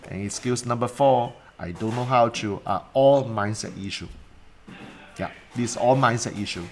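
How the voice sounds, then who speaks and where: A man's voice: medium pitch, a bit bright, a little hiss or hum. A man, a coach talking alone to viewers in a livestream, asking rhetorical questions and repeating points. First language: Cantonese. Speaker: life coach. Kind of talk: solo livestream talk.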